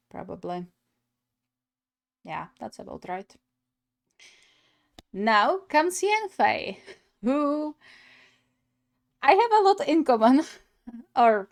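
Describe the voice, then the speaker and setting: A young adult woman giving casual, musing reaction commentary as a gaming host. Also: mid-range voice.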